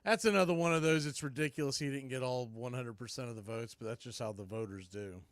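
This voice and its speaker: A man's voice: mid-range voice, quick pace, no echo. Dry-sounding male baseball play-by-play announcer. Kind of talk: live play-by-play commentary mixed with trivia.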